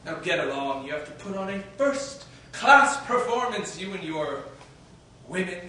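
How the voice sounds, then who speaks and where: Male actor for a tyrannical, unhinged old king. Lively, animated stage delivery, heard from a distance with heavy room echo and faint noise. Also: unhurried, full character voice. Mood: commanding. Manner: theatrical, swings from booming shouts to low menacing mutters. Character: raving, domineering old king, cruel and grandiose. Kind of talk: stage drama acting.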